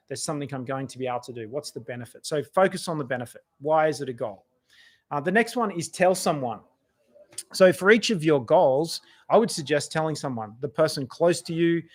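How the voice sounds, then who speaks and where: A middle-aged Australian man with a voice worn hoarse, giving chatty, encouraging advice on a live video talk, with many restarts. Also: mid-range voice. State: losing his voice. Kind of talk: casual motivational live talk.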